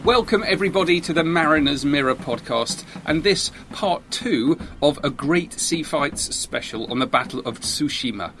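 A man's voice: on the high side, expressive, normal speed, few pauses, a bit bright, a little hiss or hum. A man hosting a podcast, introducing and interviewing with emphatic, enthusiastic, well-formed phrasing.

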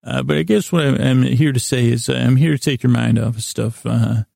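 A man hosting a bedtime podcast in a soothing, meandering, halting monologue with gently silly asides. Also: medium pitch, creaky, no echo, studio quality. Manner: soothing, lulling, mildly silly. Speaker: podcast host.

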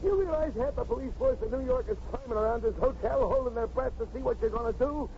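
Blunt, streetwise American male cop, creaky and urgent, old muffled radio play. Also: very high voice, quick pace, warm tone. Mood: angry, exasperated. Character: gruff, streetwise New York policeman, stubborn but caring. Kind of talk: 1950s radio drama acting. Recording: dull, noisy 1950s radio recording.

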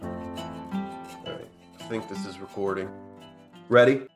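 A man co-hosting a podcast in a casual chat, slightly hoarse and blocked up, rambling with lots of fillers and restarts. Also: deep voice, slow pace, soft background music. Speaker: podcast co-host.

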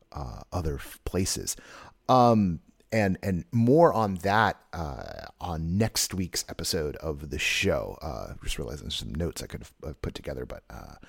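A man hosting a podcast, hoarse and tired, talking in a rambling way with many restarts and asides. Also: low-pitched, dry recording, studio quality. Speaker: podcast host. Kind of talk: casual chat and solo monologue.

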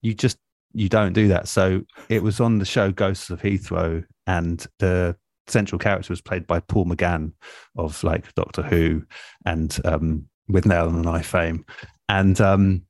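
A man with a low-pitched voice, recorded close and dry, shares stories as an interview guest. His delivery is informal and halting, with a self-deprecating touch. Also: fairly even intonation, a little mellow, no echo. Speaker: radio producer and interview guest. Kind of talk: casual anecdotal chat.

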